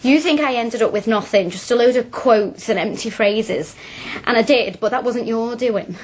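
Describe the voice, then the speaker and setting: A woman's voice: fairly high pitch, normal speed, lightly acted. A woman playing a frank, earnest young working-class student in a radio drama, plain-spoken and colloquial. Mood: earnest, reflective.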